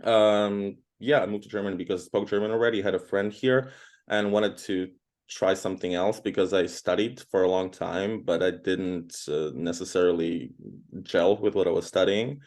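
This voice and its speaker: A man's voice: sits low, steady tone, normal speed, darkish tone. A man from Croatia in his thirties, a guest in an interview, talking casually and at length with many fillers. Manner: chatty, rambling, frequent fillers.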